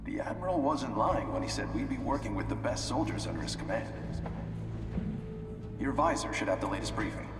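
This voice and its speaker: A man playing a steady, reassuring military officer in a video game cinematic, echoey and distant under loud music. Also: fairly low pitch, a little fast.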